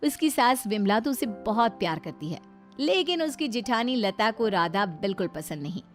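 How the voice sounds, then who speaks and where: A woman's voice: medium pitch, sing-song, normal speed, soft background music. A woman narrating a scripted domestic tale in a storybook style.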